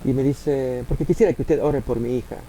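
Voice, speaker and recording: A man with an Argentine accent, a pastor preaching a sermon and telling stories, with many pauses and filler sounds. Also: medium pitch, mellow, a little hiss or hum, low-quality recording. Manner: many filler pauses, acts out quoted voices. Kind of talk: sermon with spoken anecdotes.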